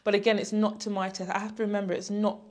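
A woman's voice: medium pitch, level tone, darkish tone. A young woman from London, a property investor, talking casually in an interview with easy laughs, recorded on a lapel mic in an empty house. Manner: chatty, laughs often, brief pauses.

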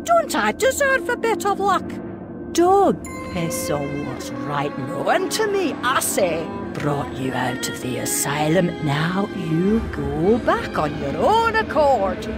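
A self-pitying, menacing nurse for a dark game. She grumbles and wheedles slowly in a mid-pitched, widely swinging voice, over loud music. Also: wildly swooping pitch, exaggerated voice. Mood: aggrieved. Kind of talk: dramatic video game acting.

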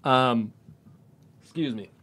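A man's voice: medium pitch, slow pace, a bit bright, no echo. A man in his forties, a podcast guest in casual chat, talking emphatically and preaching his views. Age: 40s.

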